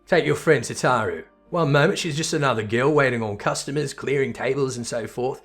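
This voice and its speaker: A man acts out a gruff tavern keeper from a fantasy game. He is wry and teasing, rough in his words, a teller of old tales. Music plays clearly behind him. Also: mid-range voice, a little fast, fairly bright tone, close to an everyday voice. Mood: wry storytelling. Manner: colloquial, teasing, rough-spoken. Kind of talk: character voice reading video game dialogue.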